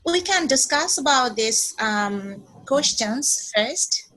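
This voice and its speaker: A woman in her twenties from Bangladesh leads an English lesson in a high, light voice. She speaks slowly and carefully, restating points and checking in with "okay". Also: expressive, bright, forceful tone, poor audio. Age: 20s. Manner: slow and deliberate, repeats herself, frequent "okay". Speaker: English teacher.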